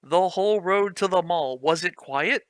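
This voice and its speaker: A man's voice: high voice, normal speed, a bit bright, no echo, studio quality. A man reading fan fiction aloud for laughs, with mock-dramatic delivery and frequent laughter. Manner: reads stiff prose with mock drama, breaks into laughter. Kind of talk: comedic dramatic reading with commentary.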